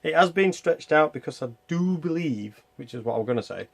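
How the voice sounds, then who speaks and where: A man reviews a video game while playing it, in a casual and rambling style. His voice is gravelly with a lot of fry, and his pitch moves around in a lively way. He thinks aloud as he goes. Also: brisk, no room sound. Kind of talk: casual gameplay commentary.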